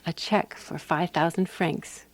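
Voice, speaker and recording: A woman actor playing a composed, wry woman who narrates a radio drama in a measured, dry manner. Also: fairly low pitch, steady tone, no room sound.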